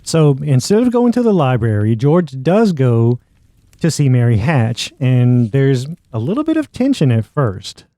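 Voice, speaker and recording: A man's voice: medium pitch, big pitch swings, measured pace, mellow, dry recording. A man hosting a podcast, recapping a story in a casual, conversational way. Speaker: podcast host. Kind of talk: casual plot recap narration.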